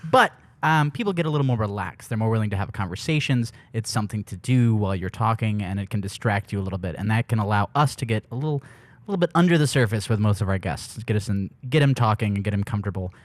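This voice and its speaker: A man's voice: fairly low pitch, animated, fast, dry recording. A man co-hosting a podcast in easygoing, casual chat. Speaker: podcast co-host.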